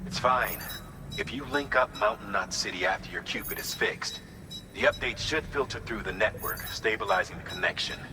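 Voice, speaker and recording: An actor playing a steady, authoritative male commander giving a measured mission briefing in a video game. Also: lowish voice, lightly acted. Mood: businesslike. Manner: measured, instructive. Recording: long hollow reverb, some noise.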